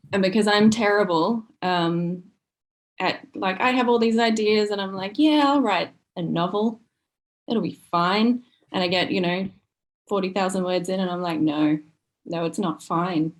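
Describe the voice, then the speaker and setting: A woman's voice: mid-range voice, unhurried. A woman chatting casually as a podcast guest, self-deprecating and acting out her own thoughts. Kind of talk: casual chat.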